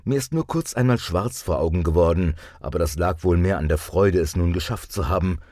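A man reading a scripted first-person testimonial as a narrator, in a measured way. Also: low voice, a little mellow, dry recording, studio quality.

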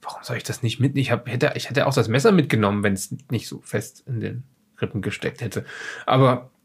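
A man playing a frightened, defensive scientist in a horror audio drama, speaking haltingly with a husky, gravelly voice. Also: medium pitch. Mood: flustered, defensive. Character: frightened, defensive male scientist recounting occult horrors under questioning. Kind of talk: improvised audio drama dialogue.

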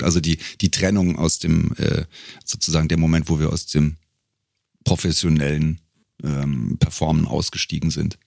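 Deep, creaky middle-aged man. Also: a little mellow, dry recording, clean studio sound. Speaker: podcast guest, singer and professional voice-over speaker. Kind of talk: casual conversational storytelling.

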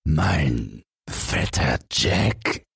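A man voicing a huge, dim-witted storybook giant with a booming, baffled manner. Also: very animated, extremely slow, vocal fry, fairly bright tone, slightly rough, no echo, clean studio sound, heavily acted. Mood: baffled, gruff.

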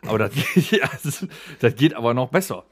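A man's voice: mid-range voice, animated, fairly quick, husky. A man co-hosting a podcast with casual, bawdy jokes and colloquial talk. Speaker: podcast co-host. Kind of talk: casual, joking podcast banter.

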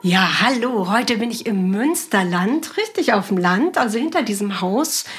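Slightly husky woman hosting a podcast. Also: mid-range voice, expressive, moderate pace, faint music behind. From Juist, North Sea island in Germany. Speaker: podcast host. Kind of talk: podcast narration and conversational interview.

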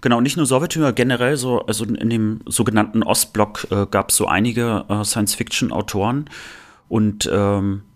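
Podcast guy from the former soviet union, lowish voice, lots of ähs and restarts. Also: moderate pace. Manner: hesitant, many fillers and restarts. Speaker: podcast co-host. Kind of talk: casual chat.

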